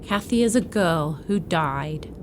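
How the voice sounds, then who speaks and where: A woman's voice: fairly low pitch, expressive, slow. Woman acting an elderly, deferential manor housekeeper. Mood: grave, storytelling. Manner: deferential, matter-of-fact. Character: long-serving elderly female housekeeper, deferential, grave. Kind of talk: radio drama acting. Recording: faint background noise.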